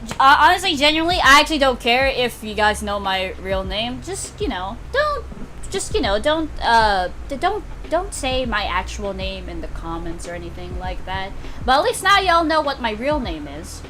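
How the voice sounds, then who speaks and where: A giggly, animated woman chatting casually and in slang on a livestream while she plays a game, poking fun at herself. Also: on the high side, moderate pace, a little hiss or hum. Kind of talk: casual livestream chat while playing a visual novel. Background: music.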